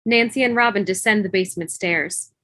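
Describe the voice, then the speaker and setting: A woman's voice: medium pitch, brisk, a bit bright, dry recording. A woman reading stage directions as scripted narration for a table read over a video call.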